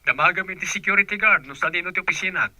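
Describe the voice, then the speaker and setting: Guy doing a brisk dept head, super sharp bright voice, dry. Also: high voice, no echo, low-quality recording. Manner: brisk, businesslike. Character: company department head, brisk.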